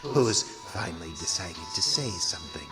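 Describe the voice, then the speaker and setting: Wry, animated storybook-style horror narrator. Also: male voice, low-pitched, moderate pace, music in the background. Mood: wry, inviting. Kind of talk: scripted audio drama narration.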